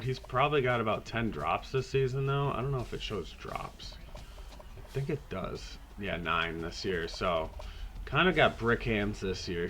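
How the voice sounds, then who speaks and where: A man hosting a podcast, talking casually through a video game season in a raspy voice, hoarse from a cold, laid-back and rambling with the odd swear. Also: mid-range voice, a bit bright. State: hoarse, getting over a cold. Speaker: podcast host.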